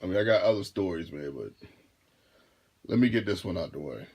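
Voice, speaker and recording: A deep-voiced man in his thirties telling a casual storytime to his viewers, rambling and restarting his phrases as he goes. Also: expressive, a little fast, darkish tone, husky. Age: 30s. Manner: laid-back, rambling, repeats and restarts phrases. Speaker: vlogger and rideshare driver.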